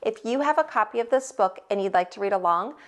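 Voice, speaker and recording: A woman narrating a children's book as a teacher would, with expressive, dramatic storytelling. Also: medium pitch, quick pace. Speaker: host, teacher reading aloud.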